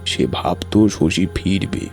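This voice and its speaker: A man playing a reticent older village uncle who gravely and haltingly recalls old secrets in an audio story. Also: low voice, animated, darkish tone, exaggerated voice. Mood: sombre. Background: music.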